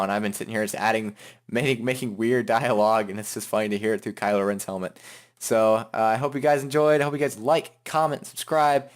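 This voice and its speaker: A man hosting a casual, improvised software tutorial, talking in an informal, rambling way. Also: medium pitch. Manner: informal, rambling, hums filler syllables while working. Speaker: tutorial host.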